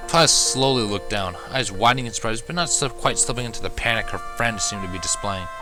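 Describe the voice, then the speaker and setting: A man's voice: fairly low pitch, quick pace, over music. A man narrating a first-person fan fiction story, reading expressively as an amateur.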